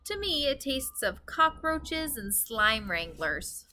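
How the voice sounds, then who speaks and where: Lady doing a kindly clumsy giant guy from a kids book, garbled words, bright forceful voice, bit hissy. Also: high-pitched, sing-song, slow pace, voice pushed up, heavily acted. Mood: teasing. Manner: theatrical, garbled made-up words, earnest. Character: big kindly bumbling male giant with mangled words.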